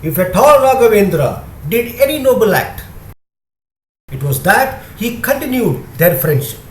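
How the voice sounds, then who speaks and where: In a reverberant room with a slight background noise, a man reads a moral tale as storyteller. His voice sits high for a male and swings expressively in pitch. He enunciates carefully, in a measured storybook style. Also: very animated. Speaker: storyteller, narrator. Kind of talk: scripted moral story narration. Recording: echoey room with faint noise.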